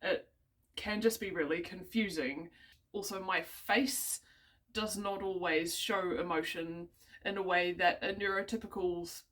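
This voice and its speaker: A woman's voice: fairly low pitch, fairly bright tone. A young woman with a New Zealand accent talking casually to camera, hesitant and rambling with many ums and small laughs. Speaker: vlogger. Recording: voice a bit distant from the mic.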